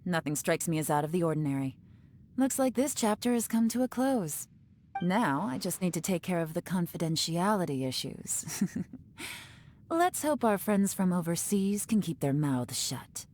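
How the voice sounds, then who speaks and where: A woman playing a cool, teasing, secretive investigator in a video game, measured and sly. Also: lowish voice, big pitch swings, darkish tone, slight background noise. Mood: coolly businesslike.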